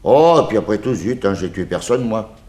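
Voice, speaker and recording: An actor playing a jovial, defensive horse-cab driver with folksy, blustering speech in a 1960s French radio serial. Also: male voice, on the low side, animated, moderate pace, a little mellow, close to an everyday voice. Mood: grudging frankness. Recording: roomy old radio recording, faint noise.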